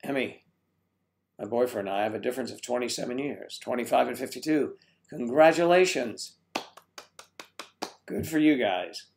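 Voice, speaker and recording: A man's voice: medium pitch, normal speed, creaky, long pauses, darkish tone, a little hiss or hum. A man hosting a casual livestream from home, rambling as he greets chat viewers and stumbles over their names.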